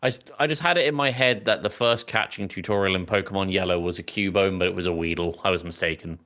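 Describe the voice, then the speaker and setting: A man hosts a casual film podcast with a forceful, bright voice that sits fairly low. He talks in a jokey way, like a friend recapping things for the group. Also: fast. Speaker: podcast host.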